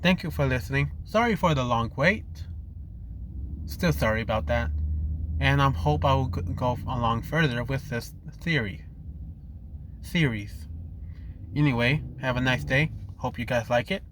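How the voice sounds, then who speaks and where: Slow, halting man losing his voice. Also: medium pitch, a bit bright, a little hiss or hum. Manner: halting, frequent restarts and self-corrections. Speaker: fan fiction storyteller. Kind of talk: improvised story narration.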